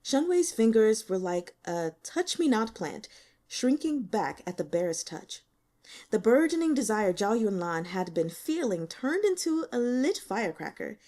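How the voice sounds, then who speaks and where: A woman reading a novel aloud as scripted audiobook narration, with expressive, dramatic storytelling. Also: fairly high pitch, measured pace. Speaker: audiobook reader.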